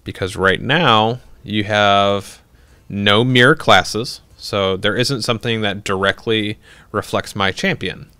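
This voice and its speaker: A man's voice: on the low side, steady tone, slow pace, pushed and bright, clean tone, dry recording. A young man hosting a livestream, chatting casually as he answers viewer questions, rambling with lots of fillers and restarts and laughing easily. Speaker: livestream host.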